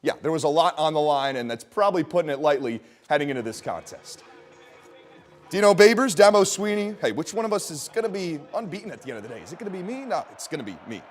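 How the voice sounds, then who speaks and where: A man reading a scripted sports highlight package as a reporter, with punchy, playful storytelling delivery. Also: big pitch swings, quick pace, soft background music.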